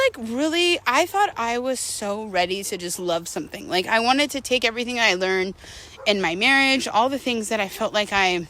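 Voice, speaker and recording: A woman's voice: on the high side, sharp tone. A middle-aged woman telling a personal story casually, in a candid, chatty, rambling way peppered with "like" and "you know". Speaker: storytelling vlogger.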